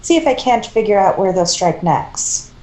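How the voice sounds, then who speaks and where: Woman, soft mellow tone, amateur story narration and dialogue, echoey room recording. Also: mid-range voice, expressive, moderate pace. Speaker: fan fiction reader. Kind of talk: scripted story narration read aloud, with character dialogue.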